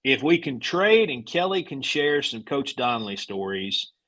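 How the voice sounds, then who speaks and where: A man's voice: medium pitch, big pitch swings, a little slow, a bit bright, no room sound. A man speaking as a broadcaster guest in casual sports talk, with a folksy, anecdotal manner. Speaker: radio play-by-play broadcaster, guest.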